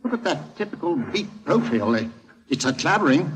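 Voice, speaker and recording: An actor playing an eager, excitable man who loves antiquities, with hesitant theatrical interjections, in a 1940s radio drama on a vintage recording. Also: on the high side, brisk. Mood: excited, certain.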